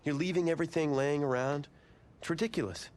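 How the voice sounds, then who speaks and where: A man's voice: on the high side, brisk, darkish tone, close to an everyday voice. A man playing an irritable, competitive young college quarterback in a naturalistic video game cutscene. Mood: exasperated. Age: young adult.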